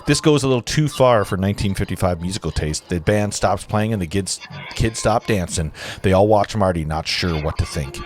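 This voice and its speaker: A man's voice: sits low, expressive, brisk, a little hiss or hum. A man narrating a film script's stage directions in a casual table read.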